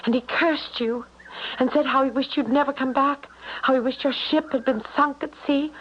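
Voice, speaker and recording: An actress playing an anxious, tender young small-town sweetheart in a 1970s radio drama, fretful, earnest and halting. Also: female voice, high-pitched, steady tone, natural voice. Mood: fearful. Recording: dull, noisy old radio broadcast recording.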